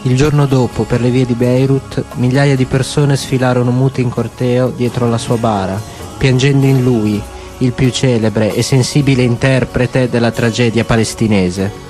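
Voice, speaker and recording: A man narrating a radio drama in a solemn, deliberate way. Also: medium pitch, steady tone, a little mellow, soft background music. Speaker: actor and narrator.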